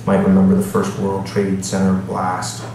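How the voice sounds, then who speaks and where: A man's voice: low-pitched, completely flat delivery, normal speed, darkish tone. A man, an author, giving a live literary reading at a bookstore with casual asides to the audience. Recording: echoey room, distant mic, background noise.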